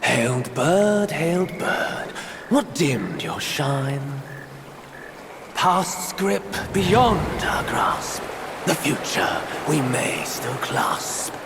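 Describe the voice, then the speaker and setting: A slow, gravelly old hermit speaking in riddles with a lively rise and fall. Clear background noise sits beneath the game scene. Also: male voice, a little high, full character voice. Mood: solemn. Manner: solemn, archaic and riddling. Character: old male hermit sage, solemn and riddling.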